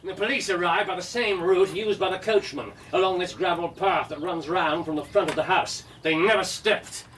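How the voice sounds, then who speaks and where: A man acting a keen, commanding Victorian private detective in a radio drama, brisk and precise, murmuring as he muses. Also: sits high, fairly bright tone, a little hiss or hum, poor audio.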